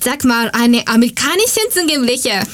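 A woman's voice: on the high side, animated, normal speed, a bit bright. A woman with a Japanese accent presenting a radio segment in non-native German from a script.

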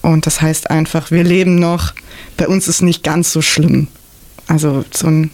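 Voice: medium pitch, flat delivery, unhurried, a little mellow, no echo. A radio interview guest from southern Germany, talking casually and reflectively in a studio discussion.

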